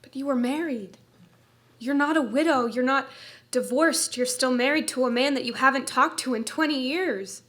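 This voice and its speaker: A grown daughter confronting her mother with sharp questions, voiced by an actress in a staged reading. Her voice sits a bit high, and her lines press hard and accuse. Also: normal speed. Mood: accusing.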